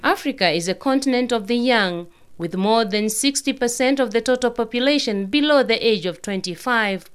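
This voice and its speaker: Higher-pitched woman reporting radio news. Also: clear, resonant tone. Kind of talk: scripted news narration.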